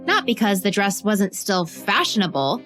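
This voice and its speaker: A woman narrates a scripted story with deliberate emphasis and dramatic pauses. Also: medium pitch, big pitch swings. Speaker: narrator and host of a children's biography podcast. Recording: music bed behind the voice.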